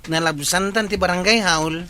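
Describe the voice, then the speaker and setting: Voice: mid-range voice, normal speed, sharp tone, a little hiss or hum, natural voice. An actor playing the questioning, plain-speaking sidekick of a village captain in an Ilocano comedy drama.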